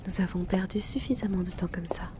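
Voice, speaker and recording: A woman playing a soothing, motherly hypnotist and colleague in an ASMR audio roleplay, speaking in a hushed, reassuring manner. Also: fairly low pitch, a little fast, noisy background, natural voice. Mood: calm, brooding. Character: soothing, motherly female hypnotist and bar colleague comforting someone.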